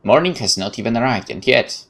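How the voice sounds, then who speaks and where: A man's voice: medium pitch, lively intonation, a little fast, gravelly, fairly bright tone. A man with a Polish accent reading visual novel text aloud as a let's play host, dramatically, with occasional stumbles and casual asides. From Poland.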